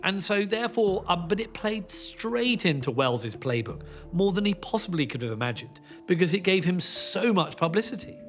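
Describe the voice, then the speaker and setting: High-pitched, animated man. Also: moderate pace, few pauses, faint music behind. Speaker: documentary commentator. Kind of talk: informal interview commentary.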